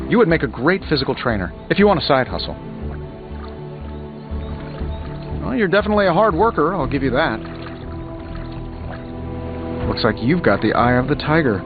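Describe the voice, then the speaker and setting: Guy doing a cocky wisecracking genius superhero, game dialogue, slightly high voice, muffled with music behind. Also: expressive, a little fast, long pauses, lightly acted. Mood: breezy, teasing. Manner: sarcastic, glib, wry. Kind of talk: video game dialogue, quippy banter.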